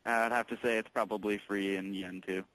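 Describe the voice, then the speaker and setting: A man working as a tech support employee on a call, explaining things patiently in a halting, informal way. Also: lowish voice, fairly even intonation, fairly bright tone, dry recording, poor audio. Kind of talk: casual explanatory phone conversation.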